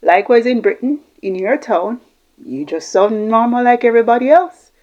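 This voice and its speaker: A woman's voice: fairly high pitch, expressive, moderate pace, highs cut off. A woman giving an informal explanatory talk, reflective and repeating phrases for emphasis. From Jamaica, Caribbean. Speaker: host giving communication tips.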